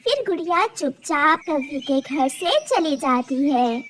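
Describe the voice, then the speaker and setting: A storyteller reads a scripted cartoon tale for children. The voice is extremely high, almost childlike in pitch, and moves slowly. There is faint noise in the background. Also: lively intonation, fairly bright tone. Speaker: story narrator.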